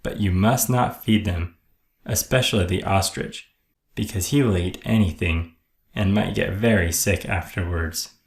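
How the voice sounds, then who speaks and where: A man voicing a friendly, brisk animal show director from a children's storybook, breezy and commanding. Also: low voice, reverberant. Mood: brisk, instructive.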